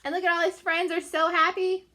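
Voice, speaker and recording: A woman storyteller with a high, bright voice. She addresses young listeners in an animated, emphatic read-aloud style with chatty asides. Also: brisk. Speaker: storyteller reading to children.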